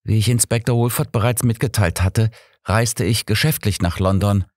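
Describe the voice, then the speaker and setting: A man's voice: on the low side, normal speed, a little mellow, dry recording, clean studio sound, pitched above the performer's own voice, natural voice. Man voicing an earnest, sorrowful businessman. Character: earnest, sorrowful businessman from abroad, protesting innocence.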